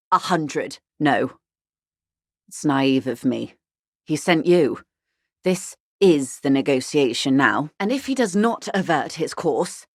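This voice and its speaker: A composed corporate negotiator from a video game. Her voice sits low, recorded dry with no room around it. She speaks in measured, literate sentences, grave and weary, with a sardonic edge. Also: no room sound. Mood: guarded, stern. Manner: articulate, formal, dryly ironic, grave.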